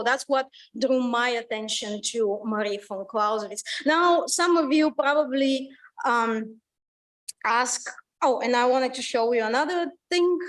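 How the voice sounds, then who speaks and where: A middle-aged woman with a Bulgarian accent giving a public lecture, chatty and full of fillers and lively asides. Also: a little high. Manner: conversational, many fillers, animated asides. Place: lecture room. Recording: voice from far off the mic.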